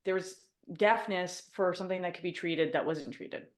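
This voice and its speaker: A woman's voice: lowish voice, normal speed. A woman co-hosting a casual book club podcast, thinking aloud in a chatty way. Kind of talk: casual chat.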